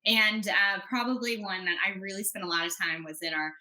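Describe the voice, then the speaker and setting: A woman guest on a podcast interview, explaining her points in an animated, confident way. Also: mid-range voice, brisk, poor audio. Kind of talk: conversational interview.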